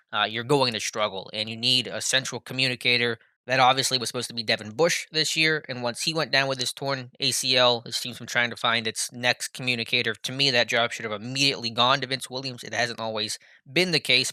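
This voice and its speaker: A man's voice: medium pitch, sharp tone, clear, resonant tone, no echo. An American man giving casual film breakdown commentary as a sports analyst, conversational and enthusiastic, with a self-deprecating streak. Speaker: sports analyst and video host.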